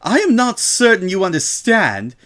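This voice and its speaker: A man's voice: high-pitched, huge pitch swings, normal speed, fairly bright tone, voice pushed up, full character voice. A man voicing a haughty, furious female unicorn shop owner who seethes through clenched teeth. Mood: tense, irritated.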